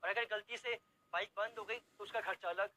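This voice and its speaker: Man playing a high, bright, timid shop lad. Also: steady tone, fairly quick, poor audio. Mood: pleading, reluctant. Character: hapless, timid young male shop assistant, eager to please. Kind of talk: audio story character acting.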